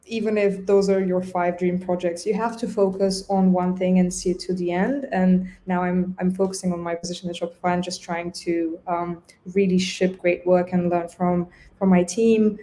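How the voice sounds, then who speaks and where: A woman's voice: mid-range voice, dark tone. A young woman from Bulgaria, a native Bulgarian speaker, chatting in an interview about her design work, thoughtful and restarting often. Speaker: guest designer. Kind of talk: casual interview chat.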